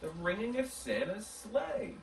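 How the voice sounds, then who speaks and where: A man's voice: sits high, sing-song, measured pace, vocal fry. A man in his forties speaking as a host, giving a holiday message and reading a storybook aloud. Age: 40s. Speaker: host of a model railroad channel. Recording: roomy, distant mic, faint background noise.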